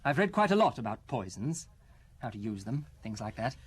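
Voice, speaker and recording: An actor playing a worried, fragile husband in an audio drama, speaking in a hesitant, halting, self-justifying way. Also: male voice, medium pitch, very animated, husky. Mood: earnest, uneasy. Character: worried fragile husband who suspects his wife of poisoning.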